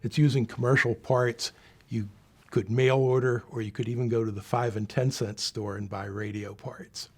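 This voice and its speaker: A man's voice: mid-range voice, fairly even intonation, normal speed. A man giving a plain, unscripted, instructive explanation as he demonstrates equipment. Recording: faint background noise.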